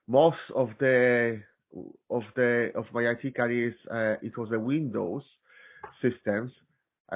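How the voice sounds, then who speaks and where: A man with a Polish accent, a systems engineer chatting as an interview guest, halting with many restarts and fillers. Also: medium pitch. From Poland. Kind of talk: casual interview chat. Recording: tinny phone-like line.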